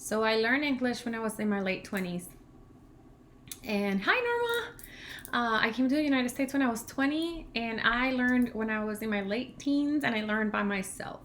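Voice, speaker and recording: A woman's voice: fairly high pitch, normal speed, a little hiss or hum. A woman in her thirties from Venezuela with a Venezuelan Spanish accent, whose first language is Spanish, chatting casually and rambling on a livestream as a language channel co-host. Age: 30s. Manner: chatty, rambling, laughs often, grows animated when ranting.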